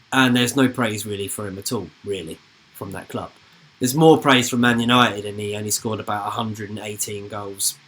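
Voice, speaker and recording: A man's voice: medium pitch, a bit bright. Casual man rambling on a live stream. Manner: rambling, informal, swears casually. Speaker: football channel host.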